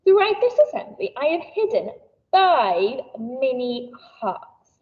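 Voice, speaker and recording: A woman's voice: sits high, sing-song, slow. A woman teacher giving a recorded school assembly, cheerful and encouraging as she talks to children. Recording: dull lo-fi sound, a bit distant.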